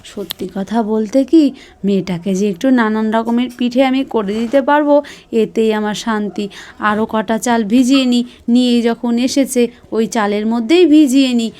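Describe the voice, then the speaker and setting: A high-pitched village wife and mother played by a woman from a doll cartoon. She is harried and devout, nagging and exclaiming as she repeats her phrases. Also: normal speed, a little mellow, close to an everyday voice. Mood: busy musing. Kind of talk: Bengali doll cartoon voice acting.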